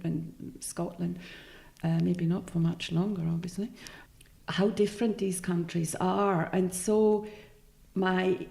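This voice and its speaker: Woman, Swiss German accent, fairly low voice, mellow tone, echoey room, halting self-correcting answers. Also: creaky. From Switzerland. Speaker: novelist guest. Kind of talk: conversational interview answers.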